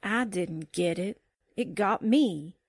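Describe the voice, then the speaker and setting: A woman's voice: mid-range voice, slow pace, husky, no room sound, clean studio sound. A woman playing a flighty, wisecracking newspaperwoman's sidekick in 1940s radio drama style, breezy and candid. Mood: sheepish, breezy.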